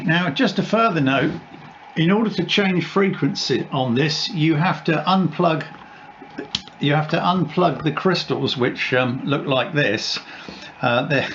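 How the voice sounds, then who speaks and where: A man giving an informal, unscripted equipment demonstration as a radio hobbyist, explaining haltingly and correcting himself as he goes. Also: fairly high pitch. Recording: dull sound with loud background noise.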